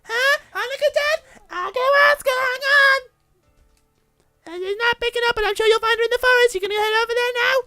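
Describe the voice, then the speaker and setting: A man acts out an overexcited, pushy anime-game schoolgirl at full shout. The voice is extremely high, shrill and croaky, bright and piercing, swooping wildly in a close, dry recording. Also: a little fast, no room sound, soft background music, exaggerated voice. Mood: excited, frantic. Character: loud excitable croaky schoolgirl, annoying, pushy friend.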